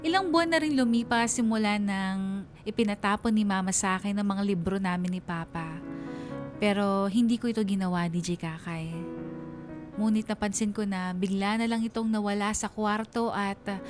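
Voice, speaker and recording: A woman voices a young letter writer confessing her story in a radio drama. She sounds wistful, with an average pitch for a woman and quiet music underneath. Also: moderate pace, lightly acted. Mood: troubled, wistful.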